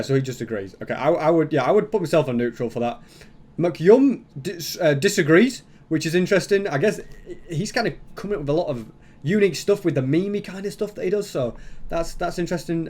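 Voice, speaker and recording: A man's voice: a little high, expressive, fairly quick, darkish tone. A man giving casual reaction commentary as a YouTube host, rambling and thinking aloud as he goes.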